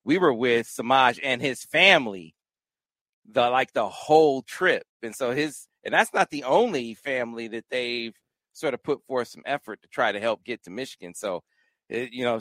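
A man's voice: medium pitch, expressive, normal speed, bright, forceful tone, no room sound. A man hosting a recruiting podcast, talking sports casually and animatedly, given to exclamations and repeats. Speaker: podcast host.

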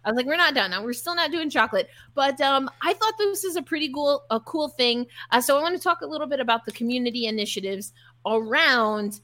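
A woman's voice: high-pitched, brisk, sharp tone. A woman hosting a sports podcast in a conversational style, chatty and upbeat.

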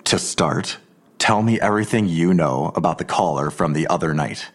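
An actor playing a coolly taunting police detective in an audio drama, questioning in a controlled, insinuating way. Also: male voice, low-pitched, normal speed. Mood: guarded, probing.

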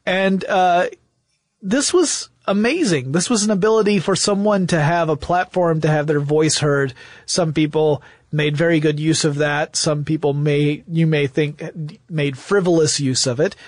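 A man with an American accent and a slightly high-pitched voice, captured with very little room sound. He sounds like a podcast co-host walking a listener through a subject in conversational style. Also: a little slow, no echo. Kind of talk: conversational explainer.

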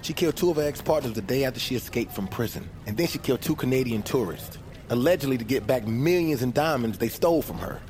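Actor as a stern, animated police captain. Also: male voice, medium pitch, fast talker, natural voice. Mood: sceptical. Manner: stern, folksy, sceptical. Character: gruffly sceptical male police captain, older authority figure. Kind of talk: audio drama acting.

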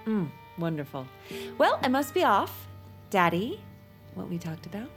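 An actress playing a politician's gushing grown daughter in a comic audio drama, affected and cooing with false sweetness. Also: female voice, mid-range voice, very animated, a little slow, faint music behind. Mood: sugary, evasive.

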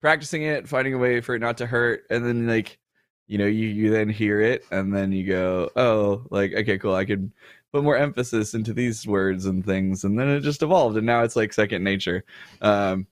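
A man's voice: lowish voice, normal speed, no room sound, clean studio sound. A man in his thirties, a show co-host, chatting casually on a livestream with frequent fillers and laughs.

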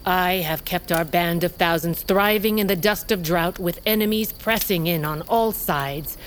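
Low-voiced wolf queen played by a woman, bossy and proud, crickets in the bg, radio drama. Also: measured pace, slight background noise, lightly acted. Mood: stern. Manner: authoritative, clipped commands.

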